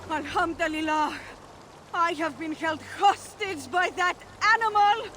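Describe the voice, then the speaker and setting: A woman's voice: very high-pitched, unhurried, bright, forceful tone, slight background noise, full character voice. Piercing, theatrical noblewoman. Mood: relieved, beckoning. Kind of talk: video game cutscene acting.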